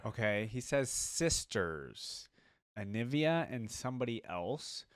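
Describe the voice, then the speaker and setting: A man's voice: medium pitch, animated, takes it slowly, clean tone, no room sound, studio quality. A man hosting a reaction video, commenting casually off the cuff and musing aloud in questions. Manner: musing, often trailing into questions.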